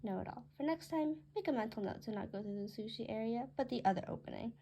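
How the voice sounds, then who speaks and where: A woman reading her own memoir aloud as a narrated story, with a husky, creaky voice. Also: fairly high pitch, lively intonation, fast talker, mellow, a little hiss or hum.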